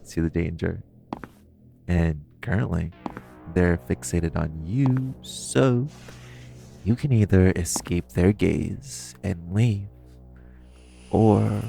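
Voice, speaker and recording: A man's voice: fairly low pitch, vocal fry, exaggerated voice. A man playing a flirty, cocky supervillain thief in an audio roleplay, teasing the listener with mock politeness. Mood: low menace. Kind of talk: theatrical audio roleplay, one-sided dialogue to the listener.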